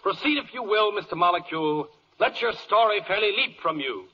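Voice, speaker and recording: An actor playing a flattering, eager defense lawyer, courtly and theatrical, pleading in rhyme in a 1940s radio verse comedy. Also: male voice, high voice, a little fast, breathy edge. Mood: ingratiating. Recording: muffled old radio broadcast.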